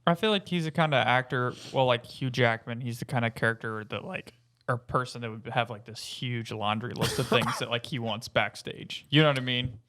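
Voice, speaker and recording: A man's voice: mid-range voice, brisk, fairly bright tone. A man chatting informally as one of several podcast panelists. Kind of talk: casual group chat.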